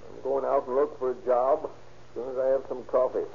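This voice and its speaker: A man playing an irritable, grumbling older husband in a 1930s radio drama, gravelly, on a tinny old recording. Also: medium pitch, little pitch movement, brisk, slight background noise, close to an everyday voice.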